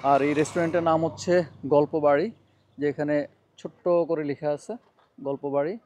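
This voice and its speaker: Higher-pitched Bangladeshi man narrating a vlog. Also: fairly even intonation, fairly quick, darkish tone, a little hiss or hum. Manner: informal, mixes English words into talk. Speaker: vlogger.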